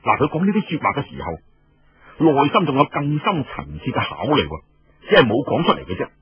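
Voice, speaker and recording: A man's voice: mid-range voice, wildly swooping pitch, brisk, husky, dry recording, highs cut off. A man with a Cantonese accent telling a story colloquially and dramatically, full of rhetorical questions and exclamations.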